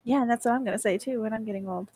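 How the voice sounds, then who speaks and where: A woman's voice: a little high, very fast, mellow, slight background noise. Teasing, giggly woman in her twenties. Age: 20s. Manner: teasing, giggly, asks the questions. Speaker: podcast co-host. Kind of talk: casual chat.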